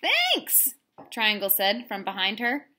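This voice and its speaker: Slow, bright-voiced woman reading a storybook. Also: fairly high pitch, very animated, slight background noise. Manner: deliberate, dramatic phrasing. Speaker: storybook reader, teacher. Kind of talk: expressive children's read-aloud. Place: room at home.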